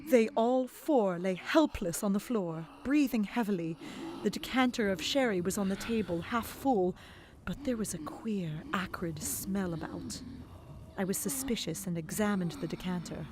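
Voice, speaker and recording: A woman playing a frightened, despairing young gentlewoman in an audio drama, moving between breathy whispers and tearful cries. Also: medium pitch, moderate pace, noisy background, lightly acted. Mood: hushed dread.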